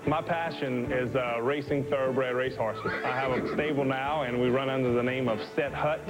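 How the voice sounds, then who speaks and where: Cajun-accented man, mid-pitched, careful delivery, loud music bed under a taped feature. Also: fairly even intonation, rough recording. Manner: slow, deliberate word by word. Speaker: quarterback in a profile segment. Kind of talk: interview clip.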